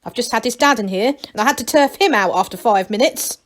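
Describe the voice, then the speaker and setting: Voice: medium pitch, fast, fairly bright tone, natural voice. A bossy old gnome granny from a storybook, as a woman reader puts her on. She scolds and gives orders, self-satisfied and firmly no-nonsense.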